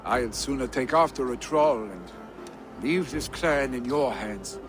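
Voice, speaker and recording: An actor playing a hot-blooded, restless young Viking warrior in dramatic game dialogue. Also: male voice, mid-range voice, animated, unhurried, over music, close to an everyday voice. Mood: wistful, restless.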